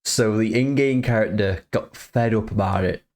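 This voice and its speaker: A man's voice: on the low side, normal speed, a little mellow. A man streaming a reaction to a fan-dubbed game movie, chatty and wry with laughing asides. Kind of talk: casual reaction commentary.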